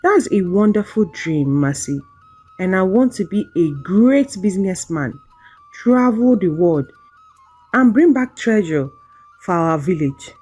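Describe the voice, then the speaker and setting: A woman's voice: medium pitch, lively intonation, unhurried, a little mellow, breathy edge, faint music behind. A woman storyteller voicing an earnest, ambitious young village man in love, tender and solemn. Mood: proud, hopeful.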